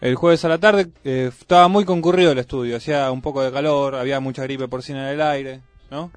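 A man's voice: medium pitch, brisk, no echo. A man with a Buenos Aires accent co-hosting casual community radio, chatting and reading out messages in a studio. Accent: Buenos Aires Argentine. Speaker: radio co-host. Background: music.

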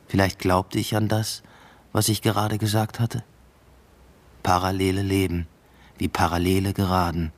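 An actor playing a brooding first-person narrator in a radio drama, telling of his collapsing life in a subdued, matter-of-fact, introspective way. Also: low-pitched. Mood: subdued, reflective.

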